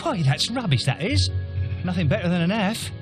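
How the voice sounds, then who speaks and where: An actor playing a cheeky, blunt young guild apprentice in scripted fantasy game dialogue. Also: male voice, high-pitched, big pitch swings, normal speed, music in the background. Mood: blunt, dismissive.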